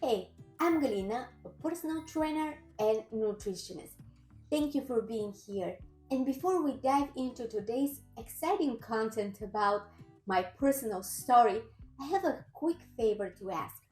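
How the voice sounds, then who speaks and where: A woman's voice: a little high, animated, moderate pace, a little mellow. A woman in her thirties from Bulgaria with a Bulgarian accent, a personal trainer and nutritionist telling her own story in an earnest, careful way. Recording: roomy echo with faint music.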